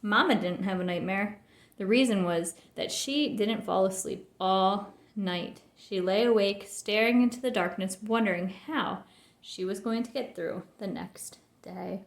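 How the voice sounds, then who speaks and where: A woman's voice: medium pitch, unhurried, echoey room. A woman narrating a children's storybook as a scripted read-aloud.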